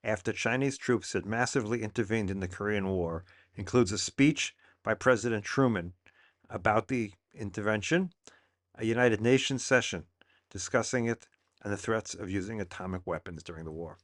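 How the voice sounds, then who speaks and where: A man's voice: on the low side, normal speed, dry recording, clean studio sound. A man reading a short scripted introduction as a narrator of archive footage.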